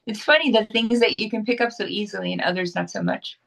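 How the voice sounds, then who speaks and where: A woman's voice: medium pitch, fast talker, vocal fry, fairly bright tone, rough recording. A woman actress chatting as a guest in a casual interview, upbeat and talkative, often restarting her sentences.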